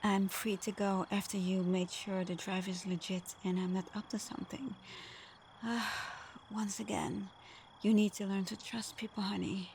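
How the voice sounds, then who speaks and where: A woman's voice: mid-range voice, level tone, moderate pace, creaky, darkish tone, a little hiss or hum, close to an everyday voice. A woman playing a sly, flirtatious supervillain in a scripted ASMR roleplay, murmuring breathily with teasing hums. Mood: sly, hushed. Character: flirtatious, sly female supervillain teasing her hero nemesis.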